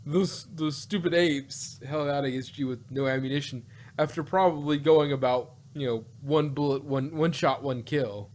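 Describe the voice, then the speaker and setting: A man reviewing in a casual podcast conversation, talking in a halting, animated, argumentative way and often repeating himself. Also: on the high side. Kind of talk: casual review chat about an audio drama.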